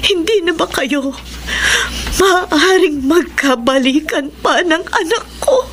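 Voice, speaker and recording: An emotional, caring Filipino woman in a radio melodrama. Her voice is very high and plaintive, heard over clearly noisy ambience. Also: lively intonation, takes it slowly, a bit bright, exaggerated voice. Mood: sorrowful, pleading. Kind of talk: Filipino radio drama acting. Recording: clear background noise and ambience.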